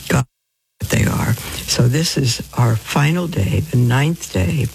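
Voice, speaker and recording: A woman hosting live devotional radio in a hoarse voice, talking informally and haltingly with affection for her listeners. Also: a bass voice, animated, background noise. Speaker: religious radio host.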